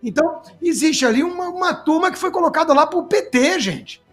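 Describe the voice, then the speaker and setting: A Brazilian man with a very high-pitched voice delivers political commentary off the cuff. He is emphatic, colloquial and sarcastic, and music plays behind him. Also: expressive, a little fast, a bit bright.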